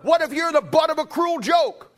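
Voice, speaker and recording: A man's voice: very high voice, normal speed, some chatter behind. A middle-aged man preaching a sermon with anecdotes in a folksy, emphatic way, often repeating phrases for weight.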